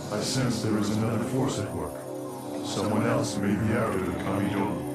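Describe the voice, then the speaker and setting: An actor playing a stern, commanding spirit guide in a fantasy video game, speaking formally and portentously in measured, cryptic lines. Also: male voice, fairly low pitch, far from the mic, natural voice. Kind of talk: scripted fantasy game dialogue. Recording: echoing voice set back, loud music behind.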